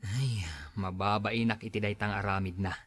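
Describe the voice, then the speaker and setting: A man's voice: fairly low pitch, level tone, normal speed, lightly acted. A man acting an earnest schoolteacher son in an Ilocano radio drama, worried and pleading as he keeps peace.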